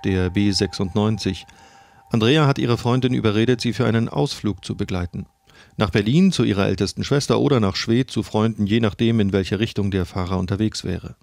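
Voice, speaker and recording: A man with a fairly low voice reads a documentary narration in a measured, deliberate manner. Soft music plays faintly under him. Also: creaky.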